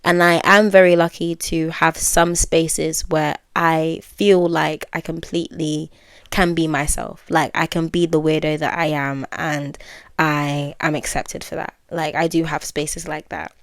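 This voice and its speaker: Low, flat-voiced young woman. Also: measured pace, smooth, no echo, studio quality. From United Kingdom. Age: 20s. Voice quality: well-spoken. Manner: candid, musing, many fillers and self-corrections. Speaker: podcast host. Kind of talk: reflective solo podcast monologue.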